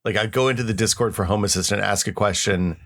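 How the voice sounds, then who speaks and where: A middle-aged man with a lowish voice co-hosts a podcast. He rambles along, restarts his sentences often and slips in goofy asides. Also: fast, fairly bright tone. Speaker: podcast co-host. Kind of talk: casual chat.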